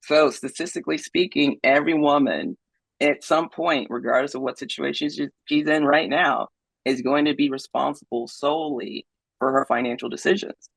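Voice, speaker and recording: A man's voice: on the high side, fairly even intonation, normal speed, vocal fry, a bit bright, breathy edge, no room sound, low-quality recording. A man speaks as a podcast guest and investor in a conversational way, often ending his points with "right?". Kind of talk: conversational interview.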